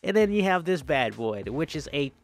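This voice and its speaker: A man's voice: a little high, big pitch swings, moderate pace. A man hosting a gaming channel with casual, enthusiastic commentary on cars, often repeating phrases for emphasis. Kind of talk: casual video game roleplay commentary on cars.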